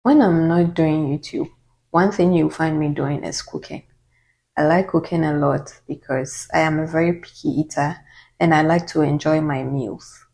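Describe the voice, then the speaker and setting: Low-voiced Ghanaian woman vlogging. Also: fairly even intonation, moderate pace, warm tone. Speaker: YouTuber. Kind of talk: casual get-to-know-me Q&A.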